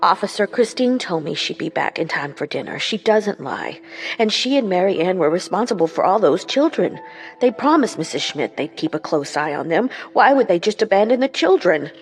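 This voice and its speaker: A woman's voice: fairly low pitch, very animated, moderate pace, faint music behind. A woman playing a worried, insistent older grandmother, pleading earnestly in a radio drama. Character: worried, insistent grandmother reporting missing girl.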